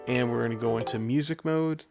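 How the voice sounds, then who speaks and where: A man's voice: mid-range voice, brisk, creaky. A man giving step-by-step walkthrough commentary as the host of a video game guide. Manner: explanatory, step-by-step instruction. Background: game music.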